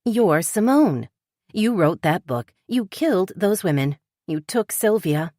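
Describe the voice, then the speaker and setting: A woman's voice: fairly low pitch, big pitch swings, moderate pace, dry recording, clean studio sound, pitched above the performer's own voice. A woman voicing a blunt, suspicious woman writer who questions sharply and demands answers. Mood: demanding, tense.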